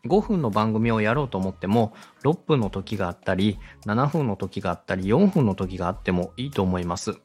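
A man's voice: lowish voice, expressive, brisk, few pauses, a little mellow, slightly rough, soft background music. A man giving a polite, step-by-step explanatory talk as a podcast host and instructor. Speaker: podcast host and radio director teaching podcast making.

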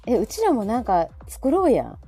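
A woman's voice: fairly high pitch, huge pitch swings, normal speed, breathy edge. A woman with a Kansai accent chatting casually as host of a live audio show, asking questions and reacting often. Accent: Kansai Japanese. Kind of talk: casual chat on a live stream. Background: soft background music.

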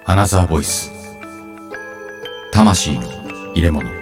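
A man's voice: sits low, expressive, measured pace. A man playing a solemn narrator in a dramatic scripted trailer for an audio drama. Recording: prominent mallet music behind voice. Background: music with mallet percussion.

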